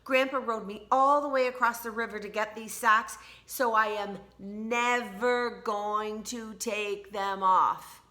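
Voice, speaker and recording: Eager, stubborn storybook kid, played by a woman reader, higher-pitched and bright, with emphatic exclamations. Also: fairly even intonation, slow, few pauses. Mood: excited, stubborn.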